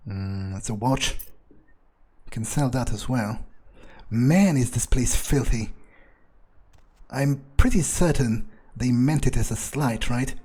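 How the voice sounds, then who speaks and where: Husky low-voiced man musing in character. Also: dark tone. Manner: musing, crude, rambling asides. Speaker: gaming video creator playing in character. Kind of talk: improvised in-character game commentary.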